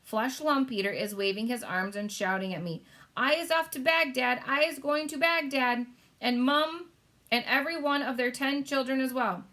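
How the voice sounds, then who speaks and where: A woman's voice: high voice, moderate pace, sharp tone, pitched above the performer's own voice. A woman voicing a big friendly male giant, simple and eager, with folksy, ungrammatical speech.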